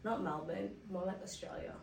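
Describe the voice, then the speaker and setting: A woman hosting a casual chat podcast, hyped up and making her own shouted sound effects. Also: medium pitch, moderate pace, creaky, a little hiss or hum. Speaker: podcast host. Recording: roomy room, distant mic.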